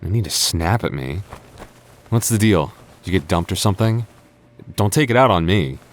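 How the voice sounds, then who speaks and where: A man's voice: low voice, lively intonation, normal speed, a little hiss or hum. A young man acting as a sarcastic, teasing and stubborn childhood friend in a naturalistic boyfriend audio roleplay. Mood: exasperated. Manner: teasing, sarcastic, stammers when flustered. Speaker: voice actor.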